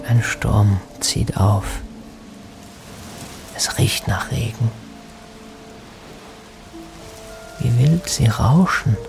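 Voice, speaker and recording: An actor playing a dreamy lost soul in a poetic radio drama, speaking in halting, half-whispered phrases with long pauses. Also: male voice, on the low side, vocal fry. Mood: dreamy, tender. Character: lost soul, a dreamy male spirit seeking redemption. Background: music.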